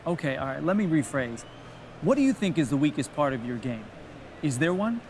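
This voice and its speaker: An actor playing an eager, probing sports reporter, politely asking post-game questions in a video game. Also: male voice, a little high, very animated, brisk, darkish tone. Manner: polite, inquisitive. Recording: noisy urban background ambience.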